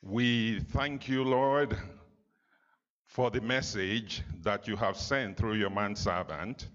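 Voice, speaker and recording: Slow, low-voiced man leading prayer. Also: fairly even intonation, a bit bright. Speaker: congregational prayer leader. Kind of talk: spoken closing prayer. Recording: dull, muffled sound.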